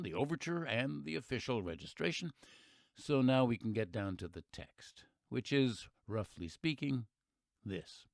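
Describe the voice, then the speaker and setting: Actor as a lively, folksy radio narrator man. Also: medium pitch, dry recording. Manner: conversational, wry, emphatic. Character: folksy, plainspoken patriotic male narrator addressing listeners. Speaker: narrator and host of an audio play. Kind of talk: scripted radio-play narration.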